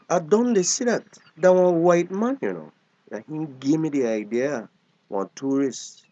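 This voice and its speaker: An actor playing a plain-spoken older fisherman with a Belizean Creole accent, talking in deliberate, drawn-out phrases in a drama. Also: medium pitch, a little hiss or hum, close to an everyday voice. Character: seasoned old fisherman, plain-spoken and practical. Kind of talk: naturalistic dialect radio drama acting.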